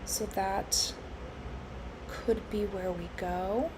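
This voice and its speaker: A woman live-streaming her futures trading, congested and hoarse from allergies, thinking aloud with lots of fillers and restarts. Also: medium pitch, fairly even intonation, very slow, noisy background. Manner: musing, with frequent fillers and restarts.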